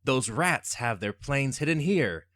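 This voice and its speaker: A man's voice: mid-range voice, big pitch swings, a little slow, fairly bright tone. A man playing a brave, dutiful American army captain with an earnest, heroic manner in a radio serial style. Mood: confident.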